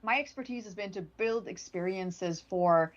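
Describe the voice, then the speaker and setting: A woman's voice: medium pitch, normal speed, dull audio. A woman from Sweden, a product manager speaking as a guest in a casual livestream interview, chatty and upbeat with frequent restarts. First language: Swedish. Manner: chatty, upbeat, laughs often, many restarts.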